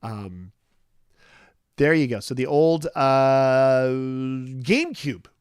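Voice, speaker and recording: A man's voice: medium pitch, expressive, extremely slow, a little mellow, smooth, dry recording, studio quality. A man hosting a casual morning livestream chat, stuffed up and nasal from a cold, rambling easily with lots of fillers and restarts. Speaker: livestream host.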